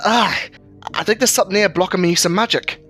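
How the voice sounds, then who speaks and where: A man voicing an excitable magical shapeshifter who panics and wails under stress in an audio drama. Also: high-pitched, a little fast, faint music behind, voice pushed up. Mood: frustrated.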